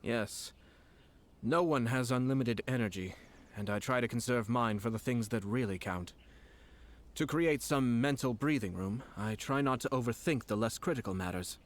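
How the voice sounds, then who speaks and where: An actor playing a composed, polite young nobleman in a video game, speaking in a measured, formal way. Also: mid-range voice, a little hiss or hum, close to an everyday voice. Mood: reflective. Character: composed, urbane young nobleman commissioner, curious and unhurried. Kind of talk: video game character acting.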